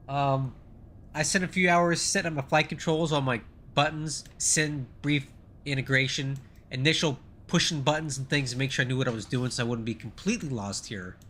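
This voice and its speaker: A man's voice: mid-range voice, background noise. A middle-aged man with a congested, nasal voice as he gets over the flu, chatting casually on a live stream and thanking viewers as he goes. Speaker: flight sim streamer.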